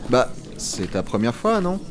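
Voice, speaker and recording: Man playing a wry, lively office guide. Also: medium pitch, measured pace, background noise. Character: wry, reassuring male office guide, patient veteran employee. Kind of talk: French audio comedy serial dialogue.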